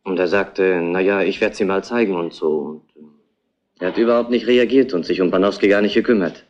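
An actor playing a grumpy, evasive roadhouse cook in a retro crime radio play. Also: male voice, sits low, fairly quick, a little mellow, lightly acted. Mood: eager witness. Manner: grudging, defensive. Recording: dull, band-limited old radio sound.

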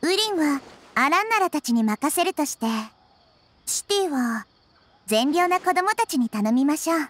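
A woman playing a wise, kindly childlike girl deity in an anime-style game, speaking in a calm, reassuring, articulate way. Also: sits high, normal speed.

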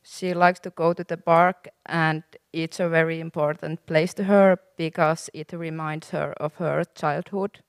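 A woman's voice: low voice, steady tone, unhurried, hardly any pauses, clear, resonant tone, no echo. A nineteen-year-old woman from Finland reading a student presentation into a handheld mic. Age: teens.

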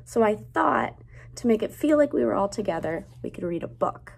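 A woman in her twenties, a teacher reading a picture book aloud to young children in a deliberate, child-directed way. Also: medium pitch, animated, vocal fry, slightly rough. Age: 20s. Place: small classroom, indoors.

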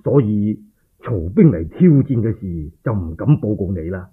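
A man acts a cautious military deputy with a Cantonese accent in a radio story. The voice drops low and husky, careful and deferential towards his superior. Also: lively intonation, normal speed, phone-quality sound. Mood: apologetic, careful. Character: cautious, deferential male army deputy reporting to his commander.

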